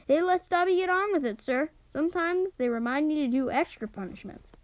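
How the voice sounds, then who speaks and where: A woman voicing a small, tearful, devoted male house elf with a high, squeaky voice and an earnest, servile manner. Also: lively intonation, fairly quick, dry recording. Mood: anguished. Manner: earnest, servile, always says sir. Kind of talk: audiobook character reading.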